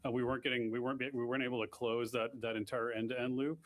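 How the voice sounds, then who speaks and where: A man's voice: medium pitch, flat delivery, fast. A man explaining technical launch issues at a press conference, in plain, technical answers with frequent restarts.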